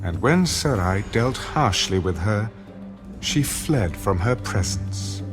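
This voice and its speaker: A man's voice: fairly low pitch, big pitch swings, takes it slowly. A man narrating a dramatized scripture in a solemn storytelling style. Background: music.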